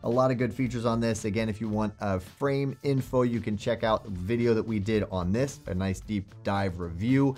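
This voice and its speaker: A man's voice: on the low side, moderate pace, few pauses, a little mellow. A young man hosting a product review to camera, chatty and enthusiastic in a salesman way, with faint music behind him. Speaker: host.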